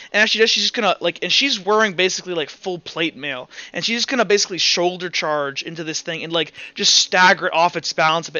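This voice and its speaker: A man's voice: sits high, bright, forceful tone, no room sound, highs cut off. A man running a tabletop roleplaying game, narrating off the cuff in an informal, thinking-aloud way. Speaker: game master. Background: background music.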